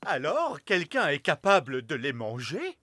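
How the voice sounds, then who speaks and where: A woman's voice: deep voice, huge pitch swings, a little slow, a bit bright, no room sound, exaggerated voice. An actress playing a wary, hesitant elf king in a cartoon. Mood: doubtful.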